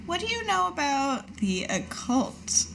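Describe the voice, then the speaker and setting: Slow-paced woman with a highish voice. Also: sing-song, gravelly, a bit bright. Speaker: player in a tabletop roleplaying game. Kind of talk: casual in-character improvised chat. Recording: noticeable background noise.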